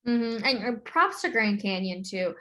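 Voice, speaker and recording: A woman's voice: fairly high pitch, lively intonation, measured pace, sharp tone. A woman with an American accent discussing games casually as co-host of a sports podcast.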